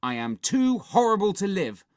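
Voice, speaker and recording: Storybook role: scheming, domineering young boy director, sneering and loud, hugely animated pitch , acted by an adult narrator. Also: moderate pace, close to an everyday voice. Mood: bossy. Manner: bellowing, commanding, sneering.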